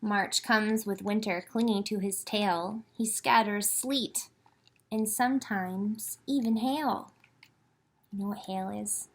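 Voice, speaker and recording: Unhurried woman reading to young children. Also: medium pitch, vocal fry, breathy edge. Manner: simple, child-directed, expressive storytelling with questions to listeners. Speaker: children's librarian hosting storytime. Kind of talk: children's storytime, read-aloud and chat with young listeners.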